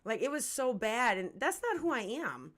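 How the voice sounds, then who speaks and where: Bright-voiced woman, candid and chatty. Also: on the high side, very animated, moderate pace. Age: 40s. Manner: candid, chatty, slangy, swears freely. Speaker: host of a music review livestream. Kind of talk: casual hip-hop interview chat.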